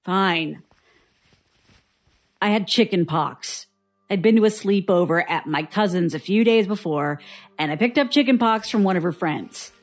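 An actress playing a weary, guarded survivor giving dry, reluctant testimony in an audio drama. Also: female voice, fairly low pitch, a little slow, soft background music. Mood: irritated, guarded. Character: guarded, weary woman survivor recounting childhood strangeness.

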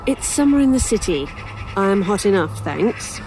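A woman's voice: mid-range voice, sing-song, moderate pace, darkish tone, slight background noise, low-quality recording. An actress playing a bewildered but dryly polite woman in an audio drama, hesitant and puzzled. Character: bewildered, polite, dry-witted woman in a strange place.

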